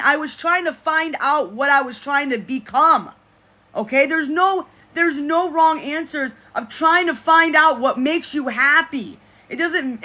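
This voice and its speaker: A person in their thirties vlogs to camera with a forceful, bright-edged voice that they describe as deep. They are emphatic and repeat themselves, circling back and restarting phrases as they press a point. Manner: speaks in a self-described deep voice. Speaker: vlogger speaking to supporters. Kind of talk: casual monologue to camera.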